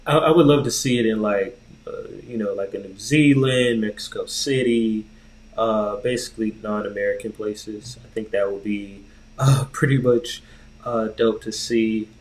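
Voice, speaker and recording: A man's voice: fairly low pitch, fairly even intonation, normal speed, a little mellow, poor audio. A man co-hosting a podcast, chatting casually in a laid-back, slangy way. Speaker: podcast co-host. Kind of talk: casual chat.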